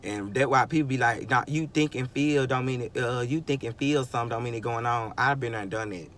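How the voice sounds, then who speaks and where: A man's voice: medium pitch, brisk, fairly bright tone, a little hiss or hum. A man in his twenties giving a rambling, emphatic motivational monologue, often chuckling and restarting sentences. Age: 20s. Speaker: vlogger.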